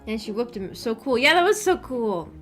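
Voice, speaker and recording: A woman's voice: fairly high pitch, sing-song, moderate pace, fairly bright tone, soft background music. A woman streamer chatting casually and enthusiastically with her viewers, with informal phrasing full of "like". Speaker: streamer hosting a visual novel book club. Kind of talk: casual livestream chat with viewers.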